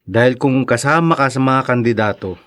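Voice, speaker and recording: A man's voice: mid-range voice, normal speed, a bit bright, natural voice. A man acting in a radio drama as a loyal, reassuring friend, speaking earnestly and supportively.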